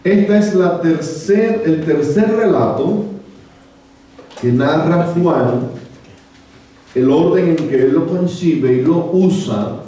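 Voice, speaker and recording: A Cuban man preaching in a church, reading scripture aloud in a measured way and pausing often on small fillers. His voice is fairly high but mellow and dark in tone. He is heard from a distance in a very echoey sanctuary. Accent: Cuban Spanish. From Cuba. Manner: measured, with frequent hesitant "eh" fillers. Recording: echoey church room from far off.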